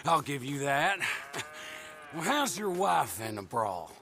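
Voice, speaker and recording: Slow, swaggering American outlaw boss. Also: male voice, a little high, big pitch swings, pushed and bright, heavily acted. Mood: mocking. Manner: mocking, drawling, swaggering. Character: taunting, menacing male outlaw gang leader, American West. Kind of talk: dramatic video game cutscene acting.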